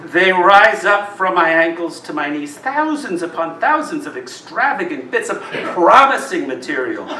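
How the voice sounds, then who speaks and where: A man giving an expressive live poetry reading, phrasing each line deliberately and dramatically. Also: sits high. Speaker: poet reading own work. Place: live reading in a roomy indoor venue. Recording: roomy live recording, distant mic, noisy.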